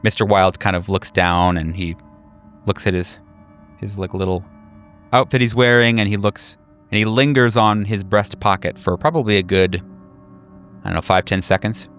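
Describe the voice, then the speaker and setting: Lowish-pitched man narrating as game master. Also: steady tone, normal speed, fairly bright tone. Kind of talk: improvised tabletop game narration.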